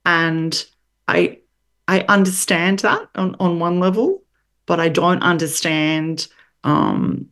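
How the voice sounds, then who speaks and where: Low-voiced woman podcast host. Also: steady tone, slow pace, a bit bright. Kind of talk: interview conversation.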